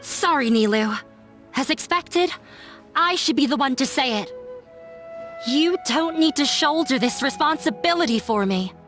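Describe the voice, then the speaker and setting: High-voiced, resolute runaway daughter. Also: female voice, expressive, fairly bright tone. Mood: pained resolve. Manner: formal, measured, resolute. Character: earnest runaway daughter defying her scholar father. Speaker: voice actor in a game dub. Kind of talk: dramatic game dialogue. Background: background music.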